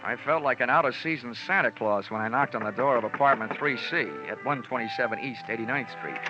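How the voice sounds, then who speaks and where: An actor narrating as a wry insurance investigator in a 1940s radio drama, with clipped first-person narration. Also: male voice, medium pitch, fairly quick, music in the background, natural voice. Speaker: narrator and lead detective. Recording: lo-fi old radio recording.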